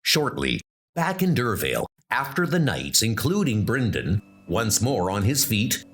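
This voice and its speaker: Slow dramatic male comic narrator. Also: mid-range voice, very animated, a bit bright, breathy edge. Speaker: comic reader. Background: music.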